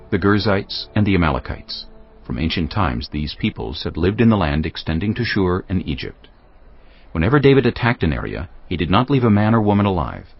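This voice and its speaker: Very deep male narrator, expressive. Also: breathy edge, lo-fi sound. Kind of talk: scripted dramatic scripture narration.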